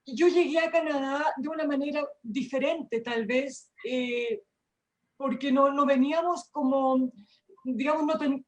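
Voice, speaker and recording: High-voiced woman. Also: steady tone, normal speed, rough recording. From Chile. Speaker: audience commenter.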